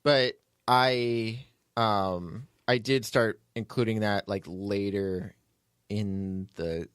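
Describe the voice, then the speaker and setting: A man's voice: lowish voice, very slow, clean tone, no room sound. Man chatting casually, dry close sound. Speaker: writer and producer, podcast host. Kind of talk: casual behind-the-scenes chat.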